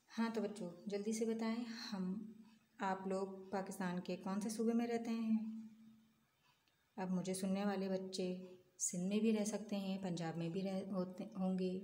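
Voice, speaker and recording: A woman's voice: mid-range voice, dark tone. A woman teaching young children, patiently asking questions and answering them herself. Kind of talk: online lesson for young children. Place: room at a desk, voice over an open textbook. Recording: echoey room with faint ambience.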